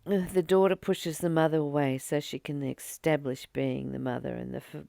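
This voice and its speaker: A woman reading a spiritual study text aloud and explaining it informally, in a halting, reflective way with pauses between short phrases. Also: deep voice, vocal fry, dark tone, no echo. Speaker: spiritual study reader and commentator. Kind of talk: slow read-aloud study with informal commentary.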